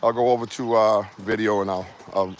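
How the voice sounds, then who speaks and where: A man's voice: lowish voice, fairly even intonation, a little fast. A man with a Boston accent from Massachusetts's South Shore, hosting a live Bigfoot research stream outdoors on his phone, chatty and joking with viewers. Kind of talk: casual live-stream chat. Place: outdoors in rural woods. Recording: outdoor ambient noise.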